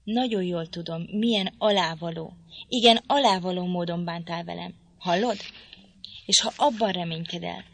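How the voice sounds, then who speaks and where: A woman's voice: lowish voice, gravelly. A woman reading the part of a bitter, vengeful young man, talking coldly and threateningly. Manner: cold, threatening.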